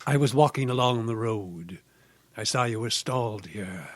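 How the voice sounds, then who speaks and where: An actor playing a mysterious, sinister stranger in old-time radio horror style, calm, cold and insistent, speaking in terse, measured phrases. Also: male voice, fairly low pitch, no echo, lightly acted. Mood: polite, flat. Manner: terse, measured, repetitive, ominous.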